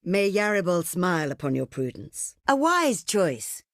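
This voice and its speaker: A woman's voice: on the low side, sing-song, measured pace, no room sound, studio quality. A woman playing a proud, imperious ancient desert queen for a video game, speaking in a regal, theatrical, declamatory way. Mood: gracious, approving. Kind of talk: video game diplomacy voice lines.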